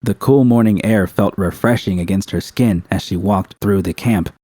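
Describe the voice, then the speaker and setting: Male audiobook narrator for fiction. Lower register, dark soft tone, dry sound. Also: moderate pace, no room sound. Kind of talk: scripted narration.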